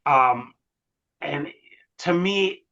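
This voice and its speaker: A man's voice: a little high, drawn-out words, sharp tone, highs cut off. A man giving his opinions in a casual podcast chat, with hedges and fillers. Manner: opinionated, hedging, many fillers. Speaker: podcast host.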